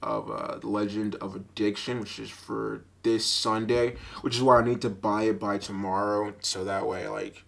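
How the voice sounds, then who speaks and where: A young man with a deep voice hosting a casual solo podcast, telling stories in a rambling, animated way, full of fillers and laughter, into a budget mic with a sock pop filter. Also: normal speed, creaky, few pauses. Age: young adult. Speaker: podcast host.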